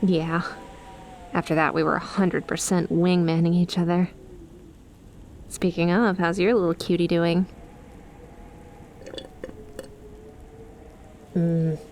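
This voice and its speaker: A woman's voice: lowish voice, flat delivery, measured pace, pauses often, darkish tone, a little hiss or hum. A woman playing an affectionate young snake-girl best friend in an ASMR roleplay, teasing and chummy as she talks to the listener.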